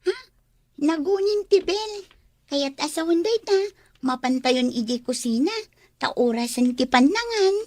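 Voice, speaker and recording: A woman's voice: high-pitched, expressive, unhurried, darkish tone, dry recording. An actress playing a mother in a radio drama who misses her child. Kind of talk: Ilocano radio drama acting.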